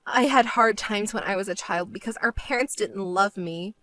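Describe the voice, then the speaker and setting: A woman's voice: mid-range voice, moderate pace, a bit bright, faint music behind, close to an everyday voice. A woman voicing a stern, fed-up sister with a grudge in a dramatized story, cold, firm and scolding. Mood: firm, resentful.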